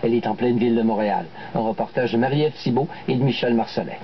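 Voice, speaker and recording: A man's voice: medium pitch, quick pace, slight background noise. A man presenting and narrating a television report in a scripted style. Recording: dull lo-fi broadcast, guitar music behind.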